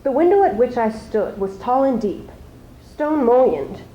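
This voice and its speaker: A teenage girl, a high school student, gives a deliberate, suspenseful dramatic reading of prose. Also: big pitch swings, warm tone, slight background noise. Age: teens. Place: live school showcase before an audience. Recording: echoey live room recording.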